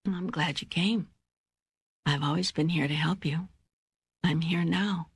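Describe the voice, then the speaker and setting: An older woman voicing God as a calm, reassuring presence in an audiobook dialogue, gentle and measured. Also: lowish voice, husky, no echo, studio quality, exaggerated voice.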